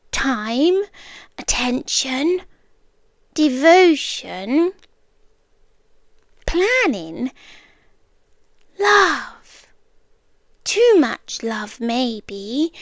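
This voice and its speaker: A British woman playing an obsessive, possessive young girlfriend in an intimate ASMR roleplay, soft and deliberate, sweetly menacing, slipping into whispers. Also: high-pitched, no room sound, clean studio sound, exaggerated voice. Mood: wounded, adoring. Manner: slow, deliberate, coaxing, with pauses and whispered words. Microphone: close, dry microphone.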